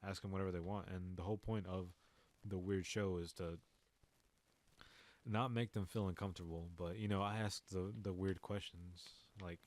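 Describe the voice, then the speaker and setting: Deep flat-voiced young man, rambling. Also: a little hiss or hum, low-quality recording. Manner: rambling, swears freely, frequent fillers and restarts. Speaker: podcast host. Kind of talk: casual solo talk.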